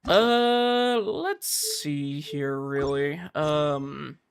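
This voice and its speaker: A man's voice: on the high side, very slow, creaky, a little hiss or hum. A man chatting casually as a game streamer, informal and rambling as he talks to his viewers. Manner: informal, rambling, filler-heavy. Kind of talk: casual livestream chat.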